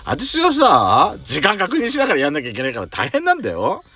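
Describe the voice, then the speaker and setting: A man's voice: sits high, wildly swooping pitch, quick pace, pushed and bright, breathy edge. A man co-hosting a podcast in casual banter, scolding his partner with sassy, effeminate turns of phrase. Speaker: podcast co-host. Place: recorded in a parked car. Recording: background music under speech.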